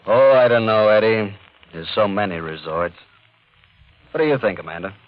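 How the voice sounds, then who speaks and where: A man's voice: lowish voice, moderate pace, no room sound, natural voice. An actor playing a skeptical, noncommittal man of money in a 1950s radio drama. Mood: doubtful. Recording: muffled 1950 radio broadcast.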